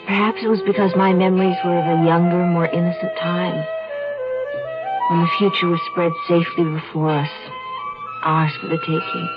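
Actress as a low-voiced, composed runaway wife. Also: female voice, level tone, moderate pace, natural voice. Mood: reflective, uneasy. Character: composed, pragmatic runaway wife devoted to her lover. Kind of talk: 1970s radio drama acting and reflective narration. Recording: dull radio recording, loud music behind.

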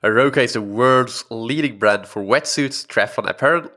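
A man's voice: mid-range voice, normal speed, bright, forceful tone. A young man hosting a podcast, congested from a recent illness, chatting casually with many fillers and restarts. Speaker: podcast host. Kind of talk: casual solo podcast talk.

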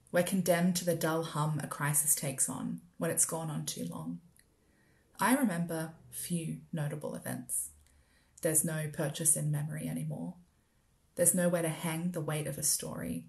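Australian woman, spoken-word writer, lowish voice with heavy fry, measured literary read. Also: a little mellow. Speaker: writer performing own work.

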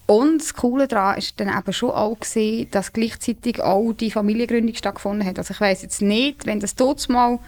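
A woman's voice: on the high side, fairly quick, hardly any pauses, a little mellow. Chatty Swiss German woman from the Lucerne hinterland. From Switzerland. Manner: chatty, laughs often, many fillers. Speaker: singer-songwriter guest. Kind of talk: casual conversation.

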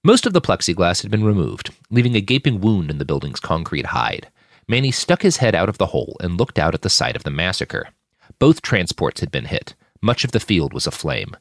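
Low-pitched man narrating dramatic fiction. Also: fairly quick, no room sound, clean studio sound. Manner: expressive, shouts out characters' lines. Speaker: audiobook narrator reading own fiction podcast.

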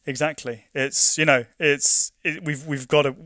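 A man's voice: on the high side, steady tone, unhurried, sharp tone, no room sound, studio quality. A young man from London with a London accent, talking casually in an interview, full of restarts and "you know" fillers. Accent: London English. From London, England. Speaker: conservation project worker.

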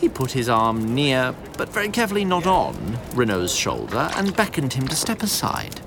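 A man's voice: medium pitch, huge pitch swings, normal speed, vocal fry, music in the background. A man giving scripted story narration in a theatrical, literary and wry manner.

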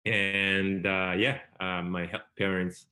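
Interview guest: Japanese man in his thirties, very low voice, hesitant storytelling full of fillers and restarts. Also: flat delivery, slow pace, sharp tone. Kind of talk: conversational storytelling.

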